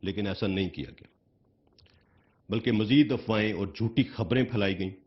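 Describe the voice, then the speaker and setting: Very fast, lowish-voiced Pakistani official. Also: male voice. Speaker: military official. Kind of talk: formal press conference statement. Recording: dull clip with music underneath. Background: background music.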